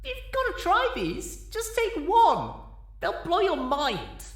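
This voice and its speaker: A man voicing a hyper, excitable, pushy female party pony from a story. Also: extremely high pitch, takes it slowly, roomy sound, voice pushed up, exaggerated voice. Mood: manic, pushy.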